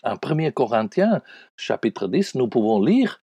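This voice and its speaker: An Italian-accented male pastor delivers a devotional radio talk with an earnest, pastoral manner. His voice is mellow and dark, and his pitch rises and falls with lively expression. The recording is dry and close. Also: unhurried, husky, dry recording. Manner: earnest, pastoral, addresses listeners as friends.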